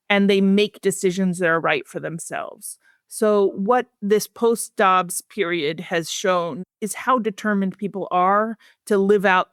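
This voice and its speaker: A woman speaking as a guest researcher in a podcast interview, measured, earnest and articulate. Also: medium pitch, clear, resonant tone, no room sound, clean studio sound.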